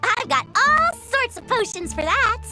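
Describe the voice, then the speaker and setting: Mischievous eager child, very high voice, unhurried, game acting, music underneath. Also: female voice, big pitch swings, heavily acted. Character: eager mischievous orphan children with morbid hobbies. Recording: music behind the voice.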